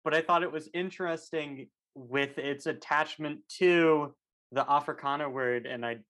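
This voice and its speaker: A man's voice: on the high side, moderate pace, fairly bright tone. Rambling male interviewer. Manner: rambling questions full of "you know, like". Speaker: interviewer, host. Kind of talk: casual interview conversation.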